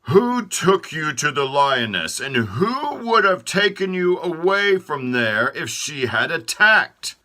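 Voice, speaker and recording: A man voicing boastful talking feet that proclaim loudly. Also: on the high side, big pitch swings, slow pace, few pauses, pitched above the performer's own voice, heavily acted. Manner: loud, proclaiming.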